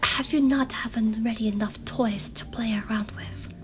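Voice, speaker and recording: A woman playing a gentle, protective shamaness in a fantasy ASMR roleplay, in a breathy whisper, pleading yet resolute. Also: fairly high pitch, steady tone, moderate pace, close to an everyday voice.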